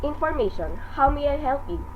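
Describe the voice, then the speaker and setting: A woman's voice: sits high, very animated, fairly quick. A woman playing a courteous, impersonal telephone information operator in a radio drama, formal and clipped. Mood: businesslike. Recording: dull, slightly noisy recording.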